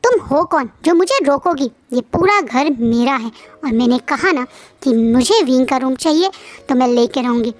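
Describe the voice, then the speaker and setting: A woman voicing a haughty, scheming wealthy woman who shouts accusations in a fit of fury. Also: high-pitched, expressive, moderate pace. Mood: furious.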